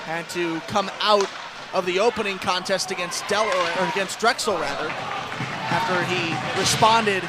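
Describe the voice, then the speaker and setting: A man's voice: high voice, unhurried, heavy background noise. An American man doing live play-by-play radio commentary of a hockey game, describing the action in a descriptive announcer's style. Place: ice rink during a live game. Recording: music playing loudly behind live commentary.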